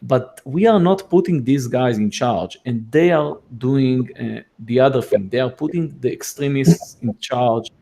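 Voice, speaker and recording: A man with an Israeli accent talks through his points in a casual podcast chat, in a voice of middling pitch. He stumbles and restarts often, leaning on fillers as he goes. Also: vocal fry, warm tone. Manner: halting, many restarts and fillers, laughs between points. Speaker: guest.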